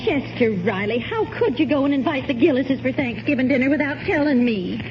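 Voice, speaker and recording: A woman's voice: high-pitched, lively intonation, brisk, over loud music. An actress playing a fretting, scolding housewife and mother in a 1940s radio sitcom. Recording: muffled vintage radio broadcast.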